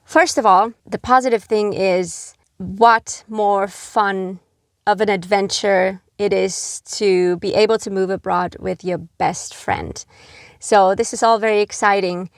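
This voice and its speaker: A woman's voice: mid-range voice, no room sound. Earnest woman from Finland, dry sound. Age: middle-aged. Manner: earnest, gentle, with frequent fillers. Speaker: expat vlogger. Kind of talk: advice talk to camera.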